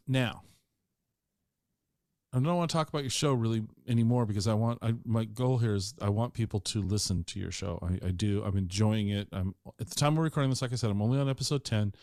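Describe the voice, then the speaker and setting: A man's voice: fairly low pitch, a little mellow, no echo, clean studio sound. A man hosting a podcast interview, chatting casually and enthusiastically. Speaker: podcast host.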